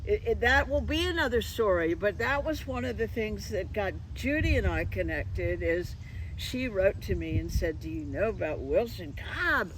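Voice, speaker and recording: Woman interviewer over clear street noise. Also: medium pitch, lively intonation, moderate pace, low-quality recording. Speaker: interviewer and host. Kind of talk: unscripted live interview, chatty.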